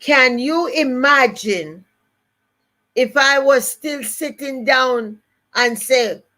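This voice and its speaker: Slow, emphatic Jamaican woman speaker. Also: sits high, bright, forceful tone. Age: older. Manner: emphatic, repeats key phrases. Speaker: motivational speaker. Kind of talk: motivational live-stream talk.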